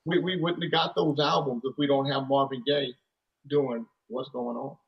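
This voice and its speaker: A man's voice: medium pitch, fairly even intonation, moderate pace, gravelly, highs cut off, crowd noise. A man in his thirties, a musician guest, telling stories in a rambling, casual way with lots of "you know" and restarts. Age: 30s. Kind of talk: casual storytelling interview.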